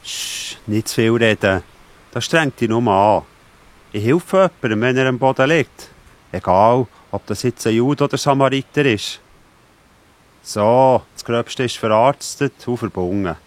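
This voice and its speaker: A man's voice: medium pitch, measured pace, lightly acted. A man playing a kind, reassuring Samaritan traveller in a children's audio drama, with a Swiss German accent. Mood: calm, reassuring. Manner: caring, reassuring. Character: kind, calm Samaritan traveller tending the wounded.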